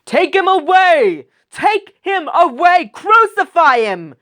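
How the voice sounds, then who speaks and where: A woman voicing an angry crowd of male leaders in a read-aloud scripture story, shouting insistently. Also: extremely high pitch, sing-song, takes it slowly, voice pushed up, heavily acted.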